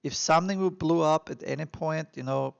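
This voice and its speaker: A man with an Austrian accent talks straight to his viewers, earnest and encouraging, circling back to his points with plenty of fillers. His voice sits a little high and has a gravelly, fry-tinged edge. The recording sounds dull and lo-fi. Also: a little fast. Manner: earnest and encouraging, repeats himself, frequent fillers. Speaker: immigration vlogger. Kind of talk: advice monologue to camera.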